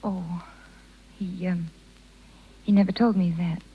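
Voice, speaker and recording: A woman's voice: on the low side, fairly even intonation, slow, breathy edge, heavily acted. A woman playing a tender, self-sacrificing young circus rider with a hesitant, pleading delivery in a 1930s radio drama. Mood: shaken. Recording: muffled 1930s radio broadcast.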